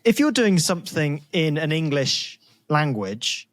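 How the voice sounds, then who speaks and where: A man's voice: a little high, sing-song, unhurried. A man co-hosting a casual interview, talking in an effusive, exclaiming way. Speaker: podcast co-host, interviewer.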